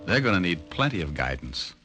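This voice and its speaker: Tired wry private eye narrating, deep-ish low pitch, old radio show with music under it. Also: male voice, sing-song, a little fast. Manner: wry, slangy first-person storytelling. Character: wry, world-weary male private detective narrator.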